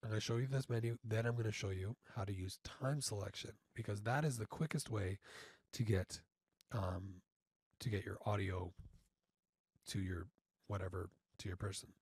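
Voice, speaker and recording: A man informally instructing step by step in a software tutorial, halting and often checking in, on a close mic. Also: lowish voice, fairly even intonation, husky, no room sound. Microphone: close studio mic through audio interface.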